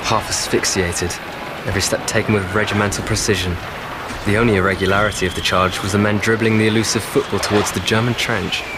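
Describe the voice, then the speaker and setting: Low-voiced young British soldier, battle noise behind male actor. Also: steady tone, fast talker, close to an everyday voice. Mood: stirred. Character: young British WWI infantryman recalling battle, awed and rueful. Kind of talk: audio drama monologue. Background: artillery fire, outdoor ambience.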